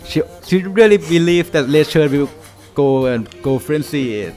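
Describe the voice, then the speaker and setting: A man's voice: on the high side, lively intonation, a little slow, darkish tone, faint music behind. A man with a Thai accent telling a story casually, hesitant and full of restarts. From Thailand. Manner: hesitant, many fillers and restarts.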